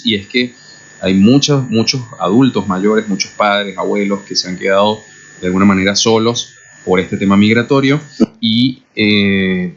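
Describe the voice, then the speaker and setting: A man's voice: lowish voice, a bit bright. A man with a Venezuelan accent hosting a radio interview, speaking thoughtfully and hesitantly, with many fillers. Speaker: radio host. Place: radio studio. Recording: muffled lo-fi radio recording.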